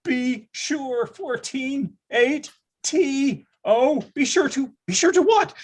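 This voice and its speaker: A performer playing an excitable, grandiose narrator recalling a nine-year-old's boyhood in a theatrical readers theatre style. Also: high voice, slow pace. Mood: building excitement.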